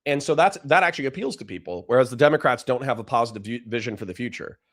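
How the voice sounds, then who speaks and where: A man's voice: medium pitch, lively intonation, a bit bright. A man with a dry voice giving blunt, profane political commentary to a livestream chat. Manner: blunt, profane, fast restarts.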